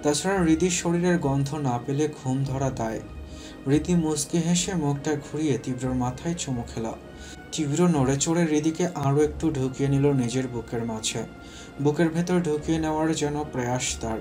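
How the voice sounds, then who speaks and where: A man's voice: fairly high pitch, normal speed, warm tone, soft background music. A man narrating a scripted romantic story as its storyteller.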